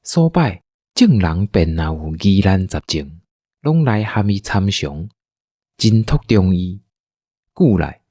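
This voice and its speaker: Male drama narrator, Taiwanese accent, low and unhurried storytelling with lively swings. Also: dry recording, studio quality.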